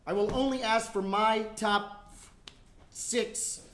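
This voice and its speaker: A man's voice: high voice, steady tone, takes it slowly, fairly bright tone. A man playing an earnest, bossy young child in a live comic stage reading, with emphatic, precise declarations. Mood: bossy, determined. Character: young child, earnest, bossy, precocious about Santa's lap. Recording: roomy live stage recording, clear background noise.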